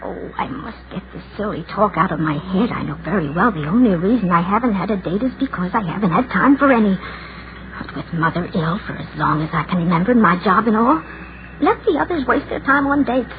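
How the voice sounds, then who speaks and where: A woman's voice: medium pitch, animated, fairly quick, natural voice. Actress as an anxious young woman, old radio. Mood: bitter, fretful. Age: 30s. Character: shy, anxious, judgmental lonely woman of thirty. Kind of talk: 1940s radio acting. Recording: noisy, narrow-band 1940s radio recording.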